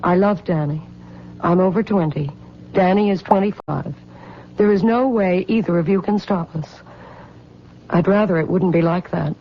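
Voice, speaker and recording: A woman's voice: lowish voice, moderate pace, long pauses. Earnest young woman in love. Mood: resolute. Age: 20s. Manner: earnest, emotional. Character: devoted, determined young woman in love, torn. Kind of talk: old radio drama acting. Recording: dull, noisy vintage radio sound.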